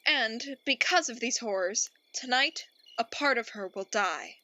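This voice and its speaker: A woman's voice: a little high, lively intonation, unhurried, bright, forceful tone. A woman playing a melodramatic, easily exasperated narrator in a radio play, grandiose and theatrical. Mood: dramatic. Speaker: narrator and host.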